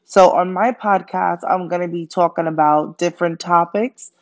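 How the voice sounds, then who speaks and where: A woman's voice: lowish voice, fairly even intonation, moderate pace, dull audio. A young woman from Jamaica, raised in Brooklyn, with a Jamaican accent, hosting her first solo podcast in a chatty, friendly way with many fillers. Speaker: podcast host. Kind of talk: casual solo podcast monologue.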